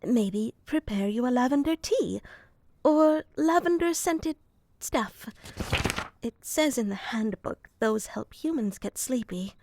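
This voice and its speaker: Woman playing a slow, soft-spoken moth girl. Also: fairly high pitch. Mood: gently deadpan. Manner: soft, slow and deadpan, slips into whispers. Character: earnest young female moth girl sleep helper. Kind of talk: ASMR roleplay.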